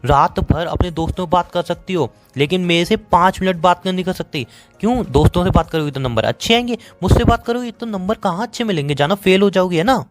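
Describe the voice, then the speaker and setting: A man's voice: sits high, animated, soft background music. A man with an Indian accent playing a sarcastic, dismissive young boyfriend in a phone-call romance drama. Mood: sarcastic, accusing. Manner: sarcastic, dismissive, rapid-fire. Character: bitter, sarcastic young boyfriend fed up with his girlfriend.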